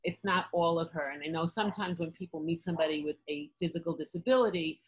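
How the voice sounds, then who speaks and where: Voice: mid-range voice, fast, breathy edge, rough recording. An interviewer asking earnest, thoughtful questions in a casual conversation.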